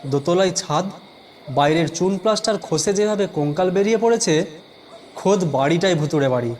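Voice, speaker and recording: A man telling a scripted first-person suspense story in a measured, dramatic way, with music behind him. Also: sits high, expressive, a little mellow, slight background noise.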